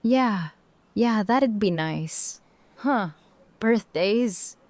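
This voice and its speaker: A woman's voice: mid-range voice, expressive, drawn-out words, a little mellow, no echo. A woman playing a brash, outspoken survivor of about thirty in an audio drama, blunt and casual. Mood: reflective, surprised. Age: 30s.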